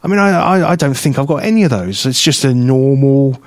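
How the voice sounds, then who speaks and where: A man with a London-ish accent co-hosting a radio show, bantering and teasing with quick laughs. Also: medium pitch, expressive, a little mellow, dry recording, studio quality. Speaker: radio co-host. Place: radio studio. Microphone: studio microphone.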